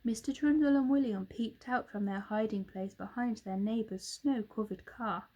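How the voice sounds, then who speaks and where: A woman narrating a children's storybook in a dramatic, expressive way. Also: mid-range voice, poor audio. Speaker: storybook reader, teacher.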